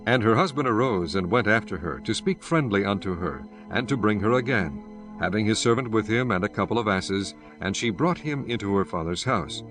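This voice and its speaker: A male narrator reads scripture from a script. His pitch is on the low side and his delivery is solemn and formal, with quiet music underneath. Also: animated, fairly quick.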